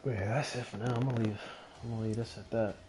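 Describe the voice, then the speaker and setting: A man's voice: on the low side, steady tone, quick pace, background noise. A young adult man streaming a video game with casual, profane, slangy commentary. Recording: game music behind the voice.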